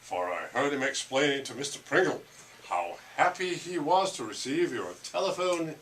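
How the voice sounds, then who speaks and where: A man's voice: a little high, sing-song, normal speed, fairly bright tone. A man playing a formal, deferential household butler at a stage play table reading. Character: deferential male household butler serving a society hostess. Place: library room. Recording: distant mic, faint room noise.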